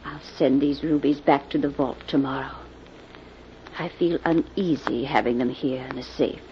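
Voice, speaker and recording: An actress playing a wealthy older widowed aunt, genteel and emphatic, in a 1940s radio drama. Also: female voice, rumbling low, steady tone, moderate pace. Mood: uneasy. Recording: muffled old radio recording with hiss.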